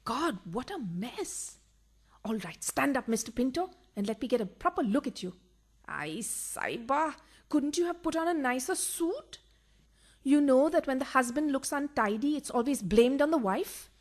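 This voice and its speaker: An actress playing an exasperated mistress who poses as a fussy wife in a radio farce, bossy yet over-polite when cornered. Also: female voice, high-pitched, sing-song, normal speed. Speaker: actor.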